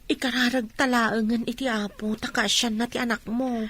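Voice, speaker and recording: Sympathetic village friend, Ilocano, radio drama. Inquisitive, high register, husky edge. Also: female voice, little pitch movement, moderate pace, fairly bright tone.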